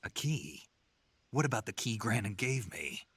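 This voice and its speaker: An actor playing a gruff, terse soldier on a covert mission in a video game, with a gravelly voice. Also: male voice, lowish voice, sing-song, unhurried, a bit bright, dry recording, heavily acted. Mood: terse, guarded. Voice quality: gravelly, husky. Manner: terse, dry. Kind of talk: video game radio-call dialogue acting.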